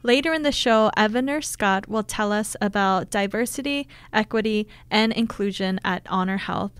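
A woman's voice: medium pitch, moderate pace, clean tone, dry recording. A woman speaking as a radio show host, interviewing a guest in a studio.

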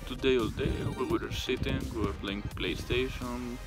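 A young man from Greece with a Greek accent, speaking casually and haltingly to a handheld phone camera outdoors. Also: mid-range voice, steady tone, over loud music. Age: young adult. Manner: plain, halting narration with fillers. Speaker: vlogger. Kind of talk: casual travel vlog to camera. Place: outdoors in a village. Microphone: phone held at arm's length.

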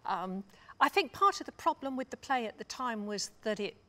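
A British woman in her sixties speaking thoughtfully on a discussion panel, with a measured, wry, reflective manner. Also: on the high side, animated, sharp tone. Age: 60s. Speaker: panelist, drama scholar. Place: stage panel before dark curtains, indoors.

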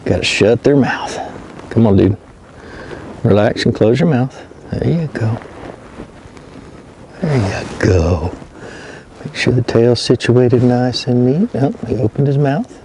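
A man with a gravelly, mid-pitched voice talks casually from a kayak out on an open lake, explaining what he is doing as he goes. He speaks to himself and coaxes the fish aloud. The camera mounted on the boat picks up clear wind and water noise behind him. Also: slow pace, long pauses, warm tone, poor audio. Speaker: fishing vlogger. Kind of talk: casual narration while working. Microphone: mounted action camera.

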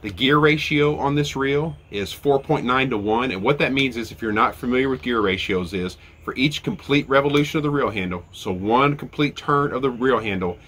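A man's voice: mid-range voice, moderate pace, hardly any pauses, a bit bright. A man in his forties, stuffy and nasal from a head cold, reviewing fishing gear in a chatty step-by-step way, recorded close on an outdoor deck. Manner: chatty, explains step by step with fillers. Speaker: fishing channel host. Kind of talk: casual product review.

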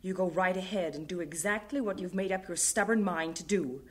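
A woman's voice: lowish voice, a little fast, slightly rough. A stern scolding mother. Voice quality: scolding, cutting. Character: middle-aged mother, stern and reproachful. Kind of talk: radio drama acting.

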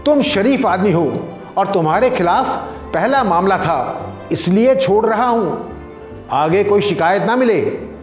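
A man's voice: high voice, animated, a little slow, faint music behind. A man voicing a wily, domineering corrupt police chief in a story, scolding and commanding. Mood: stern warning. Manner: scolding, commanding, falsely sympathetic. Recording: roomy echo, dull lo-fi sound.

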